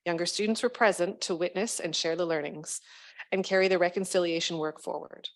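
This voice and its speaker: A woman's voice: on the low side, steady tone, a little fast. A woman reads out community news as a school trustee. She follows a script with formal delivery. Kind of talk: scripted news roundup reading.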